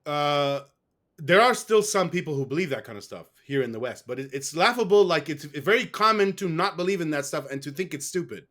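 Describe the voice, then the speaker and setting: A man's voice: a little high, lively intonation, a little fast, bright, forceful tone. A man being interviewed, telling a long personal story in a rambling, casual way. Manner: rambling, with restarts and fillers. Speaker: guest. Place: West.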